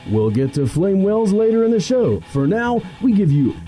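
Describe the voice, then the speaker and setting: A man's voice: high voice, sing-song, moderate pace, dark tone. A man playing a grandiose, melodramatic announcer who narrates a parody old-time radio adventure serial. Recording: music behind the voice.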